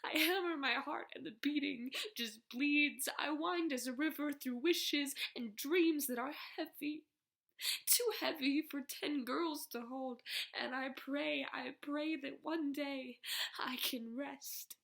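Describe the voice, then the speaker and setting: A young woman performing slam poetry in an emotional, vulnerable, rhythmic delivery that drops into hushed phrases. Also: high-pitched, slow pace, vocal fry. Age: young adult. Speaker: slam poet.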